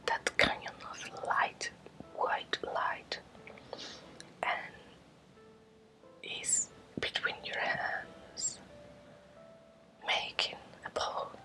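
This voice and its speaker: A woman with a Spanish accent guides an ASMR meditation in a breathy whisper, in short, halting, repeated phrases. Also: soft background music. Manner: slow, halting short phrases with gentle repetition. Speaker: ASMR creator guiding a Reiki energy exercise.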